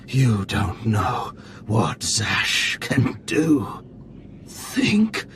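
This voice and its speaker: Gravelly dying cyborg villain. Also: male voice, on the low side, fairly bright tone, a little hiss or hum, exaggerated voice. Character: dying cyborg Sith lord, male, bitter and betrayed.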